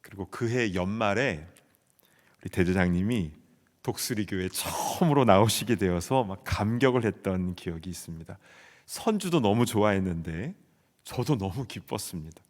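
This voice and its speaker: A man in his forties from South Korea preaches in a church, telling a story with earnest conviction. His voice sits on the low side, and a long hall reverb trails every phrase. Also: expressive, normal speed. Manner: earnest storytelling, shouting out quoted soldier replies. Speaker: pastor. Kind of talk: sermon. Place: church sanctuary.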